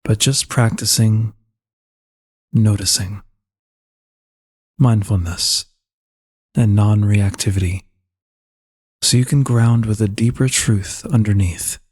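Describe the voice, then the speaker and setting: Male meditation guide, low-pitched and husky, calm and soothing, giving gentle unhurried instructions. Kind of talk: slow guided meditation narration.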